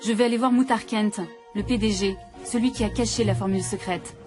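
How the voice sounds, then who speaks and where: A woman's voice: medium pitch, steady tone, brisk, over music. A woman from France, a journalist, reading scripted first-person narration for a documentary.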